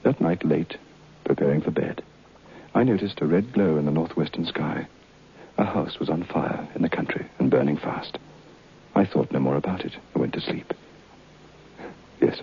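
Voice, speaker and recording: An actor playing a shaken man who tells a haunting tale in dramatic, halting radio drama style, with a gravelly, husky voice. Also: rumbling low, a little hiss or hum, full character voice. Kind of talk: old radio horror drama acting and narration. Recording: dull vintage radio recording.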